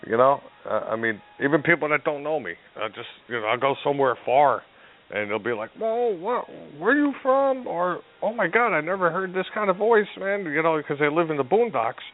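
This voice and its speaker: A man from Newark with a New Jersey accent and a deep voice, chatting as a podcast guest, telling stories with lots of restarts and laughter. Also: a little fast. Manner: animated storyteller, many restarts and laughs. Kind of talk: casual chat. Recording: tinny phone-line sound.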